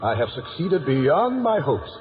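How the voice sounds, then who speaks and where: A whimsical, learned old coachman speaks grandly and kindly, swooping through ornate phrases in a fairly high voice. The theatrical 1950s radio performance sounds tinny, with a long reverb. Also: very animated, a little slow, faint music behind. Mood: kindly, musing.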